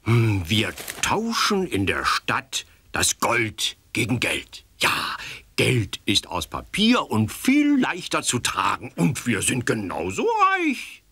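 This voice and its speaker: A man's voice: mid-range voice, huge pitch swings, takes it slowly, bright, forceful tone, slightly rough, dry recording, full character voice. A man playing a kind, plodding little bear in a children's audio play. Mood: gently reassuring.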